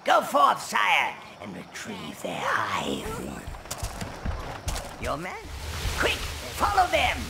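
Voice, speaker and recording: A man playing an old, fawning and gleeful goblin advisor in a video game, theatrical and servile, with a gravelly voice. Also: mid-range voice, wildly swooping pitch, extremely slow, long pauses, exaggerated voice. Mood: advising, wry. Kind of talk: video game character narration. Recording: loud music behind.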